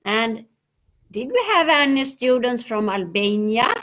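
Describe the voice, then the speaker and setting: A woman's voice: on the high side, lively intonation, measured pace, a bit bright. A woman with a Swedish accent moderating an online seminar over a video call, hesitant and full of filler sounds. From Sweden. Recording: dull lo-fi video call audio.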